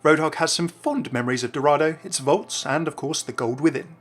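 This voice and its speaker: Casting: male gaming video host, slightly high voice, chatty scripted commentary delivered very briskly. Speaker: video game lore video host.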